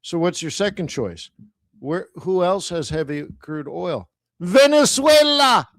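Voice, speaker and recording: A man's voice: high-pitched, animated, a little slow, dry recording. A middle-aged Canadian man hosting a live stream, chatting casually and throwing rhetorical questions at his viewers. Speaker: host of a live trading stream.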